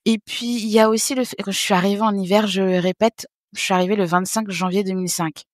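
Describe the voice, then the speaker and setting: A woman in her thirties from French Guiana, a podcast guest telling stories in a lively way, laughing and acting out what others said. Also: mid-range voice, fast, no room sound, studio quality. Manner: lively, laughing, acts out other people's remarks. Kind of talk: casual interview storytelling.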